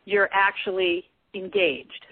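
A woman's voice: medium pitch, takes it slowly, a little hiss or hum, natural voice. A woman playing a snippy, sarcastic, sceptical sister in an amateur radio play. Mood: surprised. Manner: sarcastic, exclamatory. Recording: husky-sounding tinny phone-like line.

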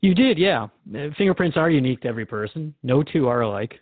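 A narrow, phone-like recording of a radio drama host, played by a man. He is male, with a slightly raised pitch and a conversational, hesitant delivery. He sounds curious and skeptical, restarting his sentences as he probes. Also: expressive, fast, dry recording. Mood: curious, probing. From Canada. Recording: thin phone-like band-limited audio.

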